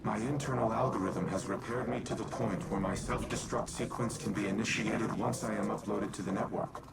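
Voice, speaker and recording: A man voicing a grave gravelly machine mind. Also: lowish voice, fairly even intonation, heavy background noise, lightly acted. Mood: commanding. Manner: measured, formal and grave. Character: ancient male warmind AI, solemn and calculating. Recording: game audio in a reverberant space with loud music.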